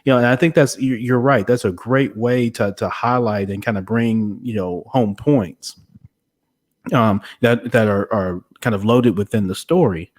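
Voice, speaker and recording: A man's voice: on the low side, measured pace. A man hosting a conversational podcast interview, wordy and hesitant, often circling back to rephrase his questions.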